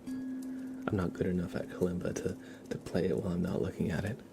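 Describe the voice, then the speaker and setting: Low, creaky, soft-spoken ASMR man. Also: level tone, fairly quick, over loud music. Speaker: ASMR live streamer. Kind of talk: ASMR, casual informal music explanation to live chat.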